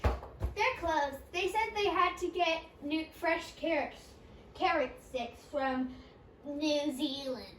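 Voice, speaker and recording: A child voicing an eager young bunny puppet, halting and repeating phrases in an improvised show. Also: high-pitched, a bit bright. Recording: roomy, distant home recording with noise.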